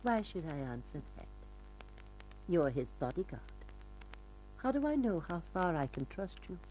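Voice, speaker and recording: An actress playing an unhappy, yearning gangster's girlfriend in an old-time radio melodrama, breathy and melodramatic. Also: lowish voice, sing-song, normal speed, dark tone, rough recording. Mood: bitter, guarded. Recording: old radio recording, music behind.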